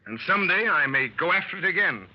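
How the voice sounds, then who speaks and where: An actor playing a seasoned American adventurer who narrates his story, brisk and commanding, with a husky voice, in a 1930s radio play. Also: high voice, expressive, noisy background. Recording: muffled 1930s radio recording.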